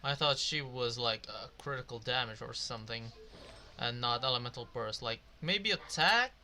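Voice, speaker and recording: A man's voice: mid-range voice, moderate pace, pushed and bright, soft background music. A man doing casual, rambling gameplay commentary, swearing now and then. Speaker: video game streamer.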